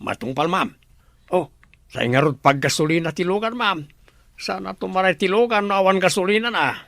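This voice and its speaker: Gravelly, dutiful henchman. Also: high-pitched, animated, a little fast, fairly bright tone, dry recording, clean studio sound, heavily acted. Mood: deferential. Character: dutiful male subordinate, deferential to his lady boss. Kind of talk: Filipino radio drama acting.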